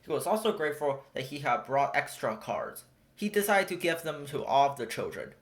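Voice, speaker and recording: A storybook reader giving an expressive read-aloud narration for children. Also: medium pitch, moderate pace.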